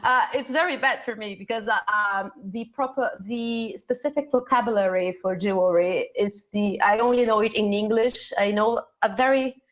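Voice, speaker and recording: A woman's voice: medium pitch, normal speed, hardly any pauses, lo-fi sound. Woman guest, Portuguese native, on a call. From Brazil. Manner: searching for words, many fillers. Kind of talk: casual explanation on live stream.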